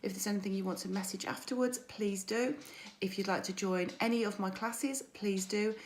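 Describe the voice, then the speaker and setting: A woman mindset coach with a croaky voice, hosting a chatty weekly livestream and greeting viewers by name. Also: mid-range voice, fairly even intonation, normal speed, a little hiss or hum. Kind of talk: weekly social media livestream.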